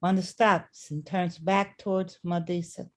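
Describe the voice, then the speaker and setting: A woman narrates a play's stage directions from a script. Her voice is on the low side, and she takes it at a very unhurried pace. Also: flat delivery.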